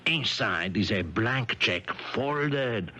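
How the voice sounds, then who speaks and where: An actor playing a courtly, genial police chief in a 1970s radio drama, with a hesitant, explanatory manner. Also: male voice, on the low side, lively intonation, slow pace, bright, forceful tone, slight background noise, heavily acted. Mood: explanatory, wry. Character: genial, theatrical French police chief in Monte Carlo. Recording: vintage 1970s radio broadcast.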